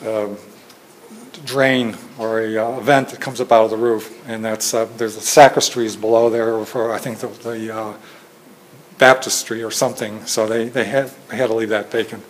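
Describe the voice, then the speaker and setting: A soft-spoken man giving an informal talk with slides to a training group, hesitant with many fillers and restarts, recorded on a phone in a meeting room. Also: lowish voice, flat delivery, moderate pace, a little hiss or hum, poor audio. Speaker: presenter at a training session. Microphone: phone camera in the room.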